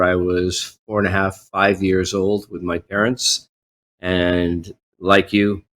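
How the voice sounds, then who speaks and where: A male podcast host who grew up in Canada, speaking calmly and reflectively in an interview. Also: deep voice. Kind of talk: conversational interview.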